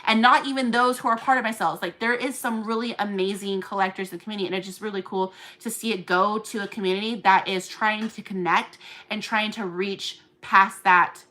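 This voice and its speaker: A woman's voice: mid-range voice, steady tone, brisk, sharp tone. A woman with a New Zealand accent chatting casually on a livestream as a seller and collector group admin, rambling with lots of fillers and restarts.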